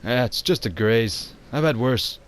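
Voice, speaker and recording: A man's voice: medium pitch, animated, unhurried, slight background noise, lightly acted. A man voicing an easygoing, protective ship's cook with a casual, friendly manner in an amateur motion comic.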